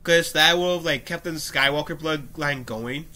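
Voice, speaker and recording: A young man with a stuffed-up nose talks to his webcam from a small room at home. His voice is bright and pressed, sitting a little higher than most men's. He reads an article aloud in a casual, animated way, breaking off into his own opinions and coughing now and then. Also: normal speed. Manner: animated, gestures with his hands, frequent coughs and restarts. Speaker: YouTuber. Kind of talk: casual commentary reading an article.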